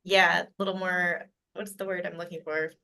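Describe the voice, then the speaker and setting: A woman's voice: lowish voice, flat delivery, normal speed, vocal fry, bright, forceful tone. A woman thinking aloud in a casual group discussion, speaking tentatively. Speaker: table read participant.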